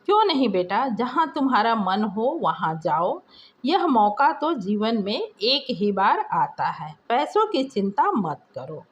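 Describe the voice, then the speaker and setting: An indulgent middle-aged mother from an audio story, voiced by a woman narrator. She speaks slowly and coaxingly, with lively rises and falls. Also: a little high, highs cut off.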